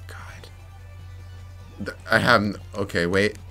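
A young man commentating his gameplay, frustrated and muttering to himself with sudden yelps, slightly raspy from yelling. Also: on the low side, fairly even intonation, takes it slowly, whispered. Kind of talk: let's play commentary. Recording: faint game music behind.

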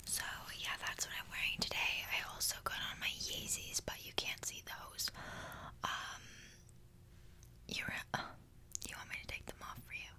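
A woman's voice: moderate pace, sharp tone. A young woman whispering an ASMR roleplay close to the microphone in casual, slangy, playfully boastful speech. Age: young adult. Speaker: ASMR creator. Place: small bedroom indoors. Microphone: desk microphone close to the mouth.

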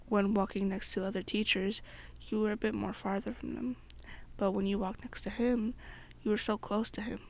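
A young woman softly narrating a second-person story in an amateur ASMR style. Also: mid-range voice.

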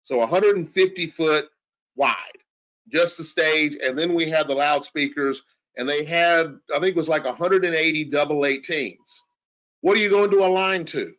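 A man's voice: fairly high pitch, moderate pace, fairly bright tone, highs cut off. A man giving an informal technical explanation as an expert, in a conversational way with rhetorical questions. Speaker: audio engineer guest expert.

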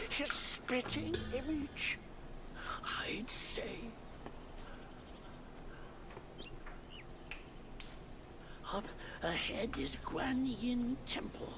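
A man's voice: a little high, gravelly, background noise, exaggerated voice. An actor playing an old, bearded male temple keeper spirit in a game cutscene, wry and cryptic, with a theatrical, sing-song delivery. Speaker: game character. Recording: game audio with loud music.

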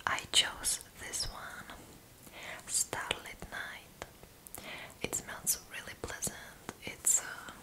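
A young woman whispers her way through a gentle ASMR roleplay. Her delivery is extremely slow and soothing, with softly repeated words, recorded close to the mic. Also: poor audio. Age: young adult. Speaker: ASMR host. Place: small indoor room.

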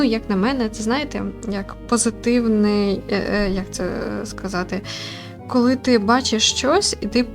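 A woman giving casual, rambling let's-play commentary as host of a gaming video. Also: mid-range voice. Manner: chatty, rambling, explanatory. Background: background music.

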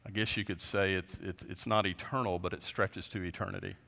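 A man in his fifties, a pastor preaching a sermon from a lectern in a large church, earnest, often dropping his voice low at the end of a point. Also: steady tone, brisk, gravelly, sharp tone. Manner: earnest, gestures widely, voice drops low at ends of points. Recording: long reverb of a large sanctuary.

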